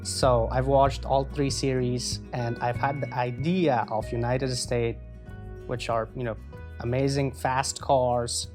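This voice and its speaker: A man in his twenties from Afghanistan, answering interview questions in an earnest, measured way with frequent pauses. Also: mid-range voice, a little mellow. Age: 20s. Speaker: guest.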